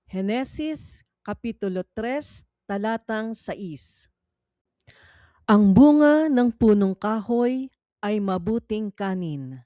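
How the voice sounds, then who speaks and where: A woman reading a scripted Bible quiz in a measured, formal, instructional manner. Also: mid-range voice, mellow, dry recording. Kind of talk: scripted read-along narration.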